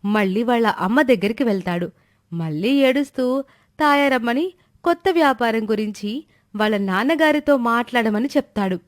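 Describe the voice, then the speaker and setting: A woman's voice: a little high, normal speed, dry recording, studio quality. A woman narrator reading a scripted moral story in a storytelling style.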